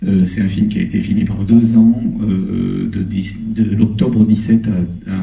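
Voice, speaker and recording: Man, middle-aged, low dark voice, halting onstage talk, handheld mic, echoey cinema hall from afar. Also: creaky, far from the mic. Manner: halting, many restarts and fillers. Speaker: film producer at a screening Q&A. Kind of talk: casual onstage talk to an audience. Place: large cinema hall, on stage. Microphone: handheld microphone over the hall PA.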